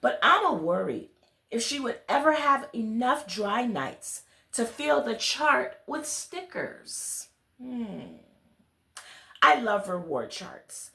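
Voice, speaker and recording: Female children's storyteller, higher-pitched and very animated, chatty asides to young listeners, roomy echo. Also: vocal fry. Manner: addresses children directly with questions and exclamations. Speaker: children's read-along storyteller, host. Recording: roomy, echoey sound.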